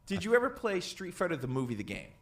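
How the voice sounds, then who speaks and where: Blunt guy on a podcast, voice on the higher side, really animated, casual movie rants. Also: fast. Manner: blunt, emphatic, opinionated. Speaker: podcast co-host. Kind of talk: casual opinionated movie chat.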